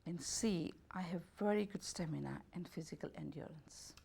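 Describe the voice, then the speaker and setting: Gravelly, mellow-voiced woman reading options. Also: low voice. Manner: unhurried, reads options as A, B and C. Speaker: holistic health and beauty therapist. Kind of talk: reading out a questionnaire.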